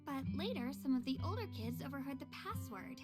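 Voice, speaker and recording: An actress voicing a gentle, reflective young woman in a video game, with thoughtful, measured, wistful delivery. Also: high-pitched, expressive. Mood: musing. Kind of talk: video game dramatic voice acting. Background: plucked guitar music.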